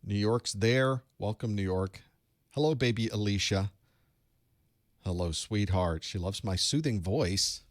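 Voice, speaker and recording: Relaxed low-voiced man hosting a live study group. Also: measured pace, no echo, clean studio sound. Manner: relaxed, chatty, greets viewers by location with light jokes. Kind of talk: casual live-stream chat and instruction. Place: home studio room with electronics.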